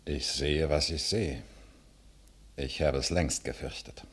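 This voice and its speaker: Suspicious, brooding courtier; bass register, husky, cryptic, period verse. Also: male voice, steady tone, takes it slowly, fairly bright tone, full character voice. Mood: foreboding. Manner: cryptic, solemn. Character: grave, suspicious courtier full of foreboding. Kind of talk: classical German verse radio drama.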